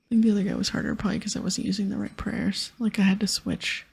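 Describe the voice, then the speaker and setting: Breathy, whispering woman doing gaming ASMR. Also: medium pitch, level tone. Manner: hushed, unhurried, casual. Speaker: host of a gaming ASMR series. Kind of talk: whispered ASMR gameplay commentary.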